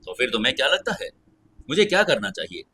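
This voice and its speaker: A man's voice: mid-range voice, very animated, quick pace, pushed and bright, husky, full character voice. A man voicing a cold, commanding young noblewoman with a curt, imperious manner in an audio story. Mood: mocking.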